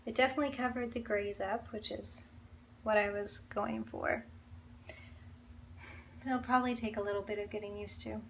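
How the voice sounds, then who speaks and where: A woman's voice: mid-range voice, fairly even intonation, moderate pace, long pauses, slight background noise. A woman in her thirties chatting to the camera at home in a small room, talking straight to her viewers. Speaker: vlogging mom. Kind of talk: casual vlog to camera.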